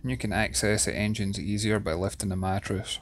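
A man with a Scottish accent, in his thirties, giving a steady, detailed walkthrough of a yacht's interior as a reviewer. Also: lowish voice, a little fast, a little hiss or hum. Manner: steady, descriptive, enthusiastic about details. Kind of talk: guided video tour narration. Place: inside a yacht cabin.

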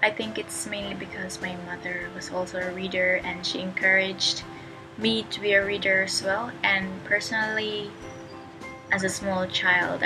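A soft-spoken young woman from the Philippines talks about her feelings on camera from a bedroom. She speaks through an earphone mic while music plays clearly underneath. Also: mid-range voice, low-quality recording. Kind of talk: personal testimonial to camera. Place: bedroom at home.

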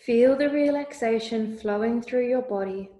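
A woman leading a guided meditation with calm, soothing, deliberate instructions. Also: fairly high pitch. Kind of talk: slow guided meditation narration. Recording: roomy, echoey voice recording.

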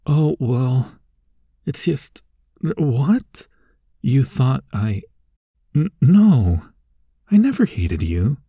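A man's voice: mid-range voice, big pitch swings, drawn-out words, long pauses, breathy edge, no echo, clean studio sound, full character voice. A man playing a tsundere young bully, teasing but secretly caring, in an ASMR roleplay with a hesitant delivery. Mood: sheepish, flustered. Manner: hesitant, stammering, softly spoken asides.

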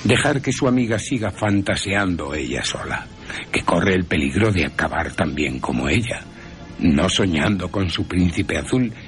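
A man with an accent from Spain narrating a story for radio in a wry, theatrical, dramatic manner. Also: low voice. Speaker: narrator and presenter.

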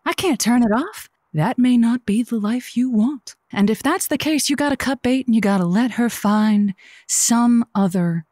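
Woman acting as gushy radio advice lady, super animated, theatrical, close dry sound. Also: fairly high pitch, normal speed, no echo. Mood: earnest, dramatic. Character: earnest, effusive female radio advice host. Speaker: radio call-in host. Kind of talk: semi-scripted audio drama, advice show banter.

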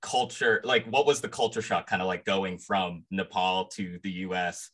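A man's voice: sits low, fairly even intonation, moderate pace. A young man hosting an interview over a video call, asking thoughtful, loosely phrased questions. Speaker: interviewer, host. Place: indoors, plain wall. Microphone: video call webcam mic.

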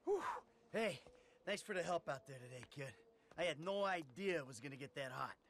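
An actor playing a sarcastic, hot-tempered middle-aged American criminal in a video game, profane and explosive. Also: male voice, on the high side, animated, normal speed, fairly bright tone, slight background noise. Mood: calmer, weary.

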